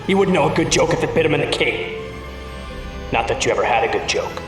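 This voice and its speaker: Male actor playing young superhero, highish voice, cocky, audio drama, echoey space, music underneath. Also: expressive, fast talker, close to an everyday voice. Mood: mocking. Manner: mocking, jeering. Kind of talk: audio drama hero acting.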